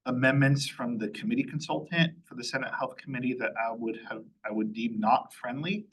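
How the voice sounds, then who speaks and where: A man's voice: medium pitch, brisk, breathy edge, poor audio. A man, a chief of staff, giving a matter-of-fact spoken update at a public meeting, with frequent ums and uhs.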